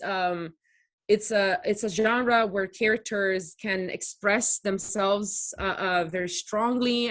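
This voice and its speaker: A young woman from Italy, a native Italian speaker, answering as a guest in a video podcast interview, thoughtful with frequent pauses. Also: medium pitch. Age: young adult. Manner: thoughtful, many fillers, laughs easily. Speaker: podcast guest, doctoral researcher. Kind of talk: conversational interview.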